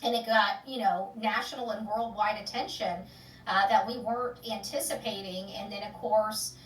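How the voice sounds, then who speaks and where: A woman's voice: mid-range voice, fairly even intonation, moderate pace, roomy sound, recorded from a distance, a little hiss or hum, rough recording. A woman hosting an online true-crime channel, rambling casually with many fillers and restarts before an upbeat sign-off. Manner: loud, upbeat sign-off catchphrases. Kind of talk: casual chat, sign-off to viewers.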